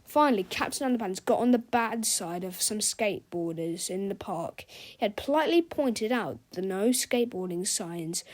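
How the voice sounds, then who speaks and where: A woman's voice: mid-range voice, darkish tone. A woman narrating a children's storybook as a read-aloud.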